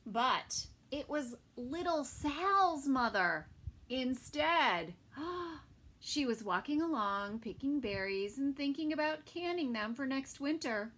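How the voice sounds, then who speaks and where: A woman preschool teacher reading a picture book aloud to young children, adding gentle asides and soft whispered comments. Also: sits high, lively intonation, slow pace.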